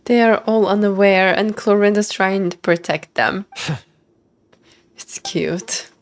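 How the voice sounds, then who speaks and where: Unhurried woman streamer murmuring asides. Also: medium pitch. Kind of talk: casual game livestream reactions.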